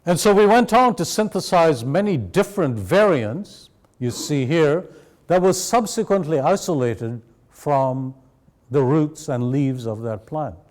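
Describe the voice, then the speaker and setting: A man raised in Sri Lanka giving a measured, explanatory university lecture to an audience. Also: fairly high pitch, lively intonation, darkish tone. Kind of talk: academic lecture.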